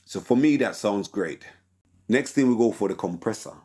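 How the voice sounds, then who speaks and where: A man with a deep voice teaching a casual tutorial on mixing vocals, with a relaxed, filler-heavy delivery full of "okay?". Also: moderate pace, husky. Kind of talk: casual screen-recorded tutorial.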